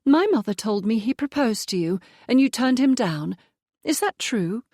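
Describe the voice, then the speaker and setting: A woman's voice: a little high, expressive, moderate pace, a little mellow, no room sound, studio quality, voice pushed up. A woman doing a needling, gossipy young lady. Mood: teasing. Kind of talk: audiobook dialogue.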